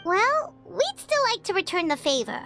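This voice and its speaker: An earnest young heroine from a video game, has a high, lively voice. She sounds eager and a little anxious, over faint music. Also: moderate pace, exaggerated voice. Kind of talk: video game character acting.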